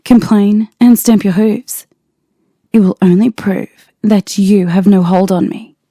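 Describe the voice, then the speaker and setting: A man's voice: high voice, fairly even intonation, slow pace, warm tone, dry recording, studio quality, voice pushed up, exaggerated voice. A man voicing a regal female princess who gives cold, stern commands in an audiobook reading. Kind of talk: fanfic audiobook character voice.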